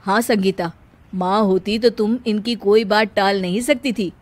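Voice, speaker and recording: A woman voicing a calm, reassuring young husband in a cartoon story. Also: mid-range voice, measured pace, close to an everyday voice. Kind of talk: Hindi cartoon story character acting.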